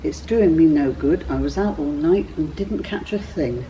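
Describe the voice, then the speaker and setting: A woman's voice: deep voice, moderate pace, warm tone, far from the mic, lo-fi sound, a little hiss or hum, lightly acted. A woman voicing a weary, incredulous male fisherman in a children's Bible story, in an emphatic, exclaiming manner.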